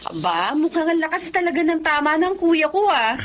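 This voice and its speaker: A woman's voice: sits high, very animated, normal speed. A woman playing a sweet, trusting young wife in a Filipino drama, friendly and teasing. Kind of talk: Filipino radio-style drama acting. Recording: faint background noise.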